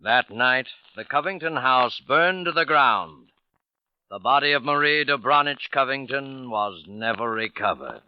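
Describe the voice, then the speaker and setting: A man's voice: mid-range voice, animated, measured pace. A man narrating and signing off a 1940s radio drama in a formal, dramatic style. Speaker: program announcer. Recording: dull vintage radio recording. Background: music.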